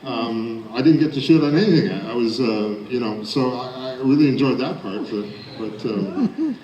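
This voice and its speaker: An older man, a voice actor, talking casually on a panel in a halting, playful way. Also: medium pitch, dark tone, muffled recording. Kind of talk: casual joking panel talk. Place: convention panel room. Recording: echoey convention hall with crowd.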